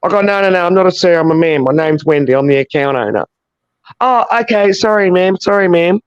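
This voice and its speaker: An Australian woman with a deep voice calling into a livestream, telling a story bluntly and with plenty of swearing. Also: expressive, brisk, clear, resonant tone, dry recording, dull audio. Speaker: viewer caller. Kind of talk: casual chat.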